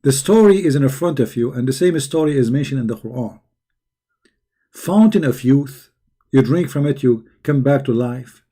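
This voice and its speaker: Hoarse, sarcastic man mocking a story. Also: mid-range voice, expressive, normal speed, dark tone. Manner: sarcastic, scornful. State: hoarse, losing his voice. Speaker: religious critic. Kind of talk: mocking video commentary.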